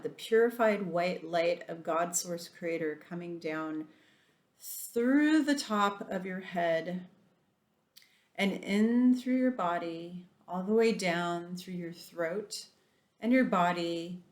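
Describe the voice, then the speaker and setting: A woman's voice: fairly low pitch, slow, reverberant. Soothing woman guiding a meditation. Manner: soothing, softened voice. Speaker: meditation guide. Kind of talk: guided meditation.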